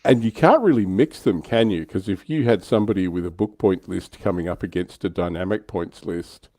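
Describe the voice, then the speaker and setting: Low voiced guy hosting a hobby livestream, casual chat, really dry close sound. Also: brisk, mellow, no echo. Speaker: livestream host reading viewer questions.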